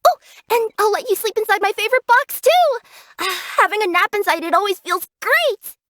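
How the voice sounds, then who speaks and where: A woman's voice: very high-pitched, expressive, a little fast, fairly bright tone, no room sound, clean studio sound. A woman voicing a cheerful, curious young female cat yokai who works as a package courier in a video game, earnest and bubbly in manner. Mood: warm, gentle. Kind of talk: English video game character voice acting.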